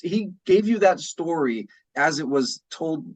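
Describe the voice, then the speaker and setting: A man's voice: a little high, lively intonation, unhurried. A man co-hosting a podcast, casually giving opinions on books in a conversational way, repeating phrases and checking in with his listener. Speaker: regular podcast co-host. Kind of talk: casual opinionated book discussion.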